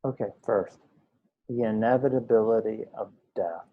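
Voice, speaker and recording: A man teaching a guided meditation, speaking in unhurried short phrases with pauses between them. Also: lowish voice, phone-quality sound. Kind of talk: guided meditation, slow instructional speech.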